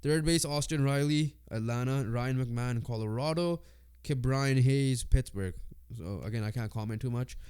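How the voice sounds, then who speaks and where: A man's voice: medium pitch, a little mellow, clean tone. A congested man co-hosting a casual sports podcast, reading off lists with lots of fillers. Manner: reads off lists haltingly with fillers. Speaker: podcast co-host.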